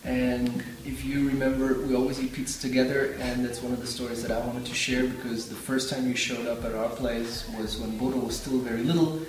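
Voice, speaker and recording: A man's voice: medium pitch, flat delivery, brisk, few pauses, very echoey, far from the mic, noisy background. A man giving an earnest, rambling farewell message to a colleague before a pizza dinner. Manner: earnest, warm-hearted, rambling. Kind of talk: casual farewell message to camera.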